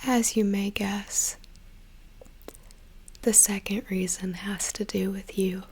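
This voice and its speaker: A young woman whispering a slow, soothing guided meditation as an ASMR creator, with a soft breathy voice. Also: mid-range voice, level tone.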